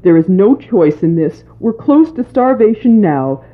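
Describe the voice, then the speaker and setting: A husky, breathy woman's voice as an anxious, careworn mother, played by an actress, her pitch moving a lot as she pleads. The sound is thin and tinny, like an old radio broadcast. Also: big pitch swings, a little slow. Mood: weary. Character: Jack's worried, careworn mother. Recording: tinny phone-like old recording.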